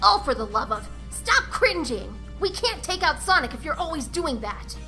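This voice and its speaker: A woman's voice: high-pitched, very animated, moderate pace, bright, forceful tone, soft background music, exaggerated voice. A woman voicing a hot-headed young female tenrec fighter in a comic dub, cocky, snappy and sarcastic. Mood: irritated.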